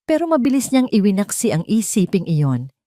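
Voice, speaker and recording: A woman's voice: medium pitch, very animated, normal speed, mellow, no room sound, studio quality. A woman reading scripted, dramatic narration for an audio story.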